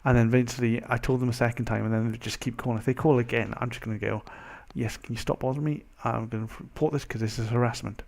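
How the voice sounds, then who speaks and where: A young man with a mild Welsh accent, chatting casually as a vlogger in a rambling, animated, self-interrupting way. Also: medium pitch, fast, creaky, hardly any pauses. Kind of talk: casual chat to camera.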